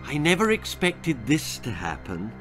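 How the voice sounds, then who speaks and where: A man voicing an ashamed male doctor, halting and apologetic, in a storybook reading. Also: on the high side, huge pitch swings, fairly bright tone, faint music behind, exaggerated voice. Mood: dismayed. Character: ashamed, hesitant male doctor breaking grim news.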